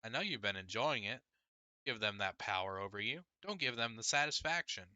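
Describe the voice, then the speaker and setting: Exasperated teen big sister, read by a male narrator: emphatic, pleading, argumentative, very bright tone, close dry sound. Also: on the low side, lively intonation, fairly quick, no room sound, dull audio. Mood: firm, pressing. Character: exasperated, insistent teenage girl, an older sister. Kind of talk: audiobook character dialogue.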